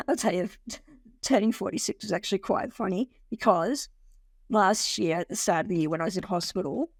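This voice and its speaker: A woman in her forties chats as an interview guest, her voice at an ordinary middle pitch. She tells stories with lively energy, laughing and restarting her sentences. The sound is dry and close. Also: normal speed, creaky, no echo. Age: 40s. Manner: animated storyteller who laughs often and restarts sentences. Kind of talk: casual conversational interview.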